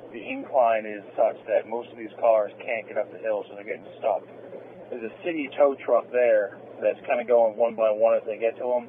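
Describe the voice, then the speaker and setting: Male police officer, mid pitch, radio transmissions through a narrow, tinny band. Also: slight background noise. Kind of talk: radio dispatch traffic.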